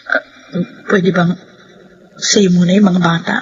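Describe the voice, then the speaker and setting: A woman's voice: fairly low pitch, level tone, measured pace, husky. An actress playing a struggling young wife and mother in a radio drama, speaking earnestly and politely. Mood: worried. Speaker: lead character. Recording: dull lo-fi radio with faint noise.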